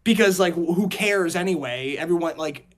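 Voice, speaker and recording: A man co-hosting a casual podcast discussion, talking in an animated storytelling way and acting out imagined reactions. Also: a little high, normal speed. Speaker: podcast co-host.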